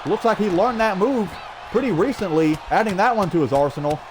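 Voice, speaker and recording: A man calling a video game wrestling match play by play, energetic with shouted exclamations and a strained throat. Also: high-pitched, a little fast. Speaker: play-by-play commentator. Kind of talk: excited wrestling commentary over video game. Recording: loud game crowd and noise behind. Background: video game wrestling crowd.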